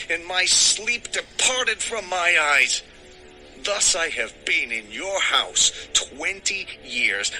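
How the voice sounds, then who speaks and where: Forceful, wronged biblical herdsman Jacob. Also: on the high side, heavily acted, rough recording. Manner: impassioned, forceful declamation. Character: Jacob, angry, aggrieved long-serving herdsman protesting injustice. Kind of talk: dramatized audio scripture acting.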